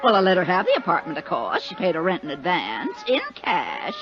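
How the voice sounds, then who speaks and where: A woman's voice: fairly low pitch, sing-song, brisk. An actress playing a talkative, disapproving landlady in a 1950s radio drama, gossipy, indignant and emphatic. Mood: gossipy indignation. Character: talkative, disapproving New York landlady of noisy tenants. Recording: dull 1950s radio recording with music.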